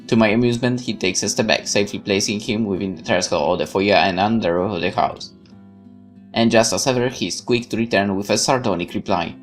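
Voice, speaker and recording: A man's voice: lowish voice, a little fast. A man hosting a let's play, expressively reading the game's text aloud with stumbles and asides, over music. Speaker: gaming video host reading the game text aloud. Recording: music playing behind.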